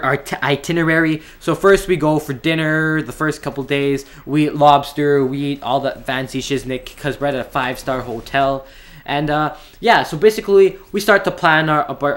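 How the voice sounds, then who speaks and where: A man's voice: on the high side, a bit bright. A young man from Canada telling a story in a casual, rambling way, often restarting his sentences. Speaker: vlogger.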